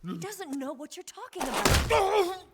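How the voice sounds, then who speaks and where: High-pitched, anguished, pleading woman. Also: very animated, unhurried, heavy background noise, full character voice. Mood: desperate pleading. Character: distraught pleading woman witnessing a brutal interrogation. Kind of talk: dramatic video game cutscene acting.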